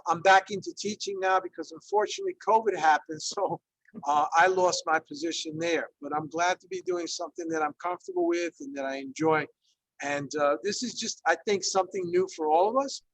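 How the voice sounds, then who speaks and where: High, gravelly man teaching online. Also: sing-song, fairly quick, a bit bright, no echo, rough recording. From Ecuador. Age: 50s. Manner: conversational, many fillers, frequent check-ins. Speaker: Spanish teacher leading a workshop. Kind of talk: online workshop presentation. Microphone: video call.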